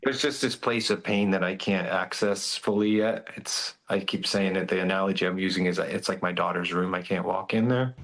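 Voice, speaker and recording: A man's voice: low voice, steady tone, moderate pace, few pauses, fairly bright tone. A man, a father talking conversationally in an interview.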